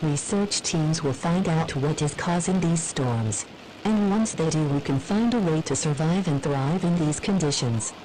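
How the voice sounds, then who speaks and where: A woman voicing a solemn weather service chief, formal and measured, reading a scripted emergency address. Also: deep voice, mellow, soft background music, poor audio. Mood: resolute.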